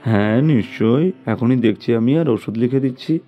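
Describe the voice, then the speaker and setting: A man's voice: mid-range voice, normal speed, lo-fi sound, soft background music, natural voice. A man voicing a businesslike male doctor who minds his fee in a cartoon story, with matter-of-fact delivery. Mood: reassuring. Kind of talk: scripted cartoon story acting.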